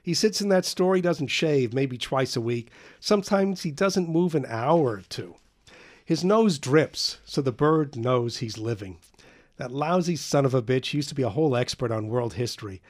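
A man's voice: medium pitch, animated, brisk, hardly any pauses, lightly acted. A man reading an audiobook voices Eddie, a bitter young man who rants crudely and mockingly.

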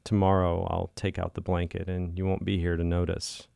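A man with a deep voice reads a personal piece of literary writing. His delivery is measured and deliberate, with plenty of fry in the tone. The sound is dry, with no room around it. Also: completely flat delivery, warm tone, dry recording. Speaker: listener contributor reading own writing. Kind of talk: reading a personal literary essay aloud.

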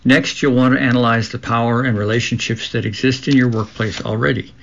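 A man hosting a radio show, reading a scripted text aloud in a measured way with occasional stumbles. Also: mid-range voice, little pitch movement, creaky, a bit bright, lo-fi sound, a little hiss or hum.

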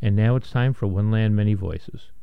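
A man reading station announcements and the program lineup in a brisk announcer manner. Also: fairly low pitch, fairly even intonation, creaky, smooth, no echo. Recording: dry, dull studio sound.

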